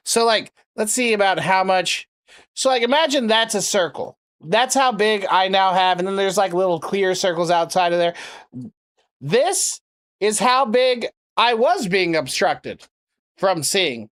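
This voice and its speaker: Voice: mid-range voice, expressive, unhurried, fairly bright tone. A prank caller and streamer chatting casually, with a sarcastic, crude, rambling way of talking. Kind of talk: casual livestream chat and prank calling.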